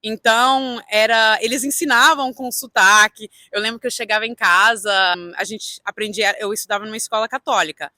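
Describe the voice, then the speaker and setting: A woman's voice: fairly high pitch, fairly even intonation, fairly quick, few pauses, pushed and bright, no echo. A young woman from Canada with a Brazilian Portuguese accent, chatting casually and telling anecdotes as an interview guest. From Toronto, Canada. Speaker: interview guest, real estate agent.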